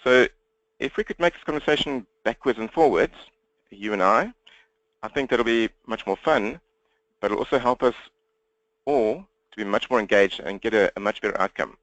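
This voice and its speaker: A man with a South African accent presenting an interactive webinar, talking fast in a direct way and engaging listeners with questions. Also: lowish voice, a bit bright, no room sound, dull audio. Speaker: webinar presenter and coach for accountants. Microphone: computer webinar microphone, presenter standing.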